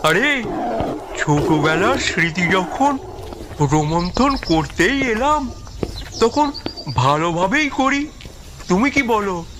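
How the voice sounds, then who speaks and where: A man's voice: sits high, animated, takes it slowly, long pauses, background noise. A man playing a genial, fussy, slightly timid writer in an audio story, with a wheedling, theatrical manner. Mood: chatty complaining. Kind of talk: audio story character acting.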